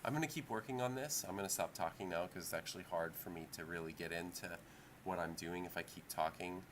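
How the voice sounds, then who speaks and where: Low-voiced man teaching drawing, noisy recording. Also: fast talker. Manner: encouraging, informal, musing. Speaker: art teacher. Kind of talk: casual drawing tutorial, thinking aloud. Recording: clearly audible background noise.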